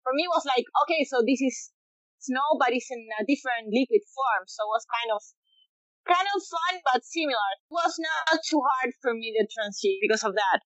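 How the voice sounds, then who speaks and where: A woman's voice: high voice, expressive, fairly bright tone, dry recording, lo-fi sound. A woman in her late twenties with a Chilean accent, talking casually in an interview and often stopping to restart her sentences. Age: late 20s. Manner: halting, many restarts and fillers. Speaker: guest, professional bodyboarder.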